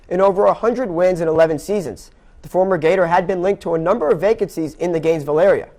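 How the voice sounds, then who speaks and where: A man's voice: high voice, darkish tone. A young man delivering sports news as an anchor, in a scripted broadcast style. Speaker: sports anchor and play-by-play announcer. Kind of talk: scripted sports newscast and baseball commentary.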